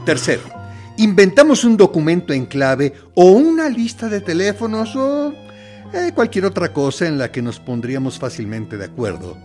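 A man's voice: high-pitched, animated, moderate pace, darkish tone, soft background music. An actor playing a suave, menacing military interrogator in a radio drama, theatrical, falsely kind and persuasive as he coaxes and threatens. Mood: coolly persuasive.

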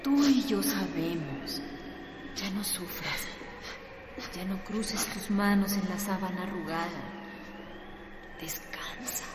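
An actress playing a consoling woman in a radio drama, soothing and hushed. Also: mid-range voice, takes it slowly, pauses often, natural voice. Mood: tender, consoling. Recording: echoey hall, background noise.

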